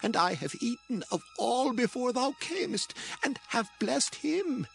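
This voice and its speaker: Slow, solemn old patriarch. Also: male voice, extremely high pitch, sing-song, gravelly, a bit bright, soft background music, exaggerated voice. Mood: shaken, grieved. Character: aged patriarch father, solemn, trembling, blessing his son. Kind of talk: dramatized scripture reading.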